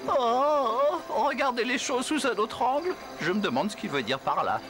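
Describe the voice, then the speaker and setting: A man's voice: very high voice, animated, normal speed. An actor playing a naive, eager, self-doubting male creature in a French cartoon, earnest and exaggerated. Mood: puzzled. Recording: loud music behind.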